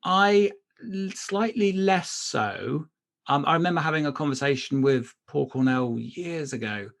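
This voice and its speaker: A man's voice: a little high, expressive, a little slow, gravelly. A middle-aged man, a writer being interviewed, talking casually about his craft and often circling back to rephrase.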